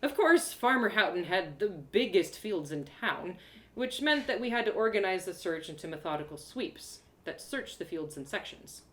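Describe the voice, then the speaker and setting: Woman reading a story out loud, really expressive n theatrical, swoopy pitch, bit roomy sounding. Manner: theatrical, animated, dramatic pauses and emphasis. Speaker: storyteller performing own one-woman audio drama. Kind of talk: expressive first-person story narration, live reading.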